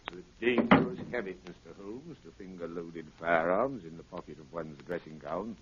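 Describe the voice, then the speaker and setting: An actor playing a cold, menacing criminal mastermind in a 1930s radio drama, with a measured, formal delivery and veiled threats. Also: male voice, sits low, natural voice. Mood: cold menace. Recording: muffled, noisy 1930s radio recording.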